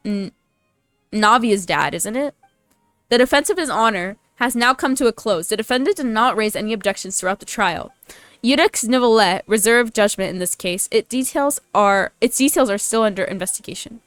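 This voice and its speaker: A woman's voice: fairly high pitch, fairly bright tone, smooth, dry recording. A woman gaming YouTuber giving casual, informal playthrough commentary in a chatty way. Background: faint game music.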